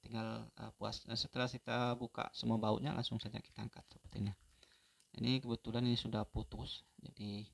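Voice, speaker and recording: A man giving an unhurried, step-by-step phone repair tutorial, pausing often with fillers. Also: fairly low pitch, creaky, a little hiss or hum.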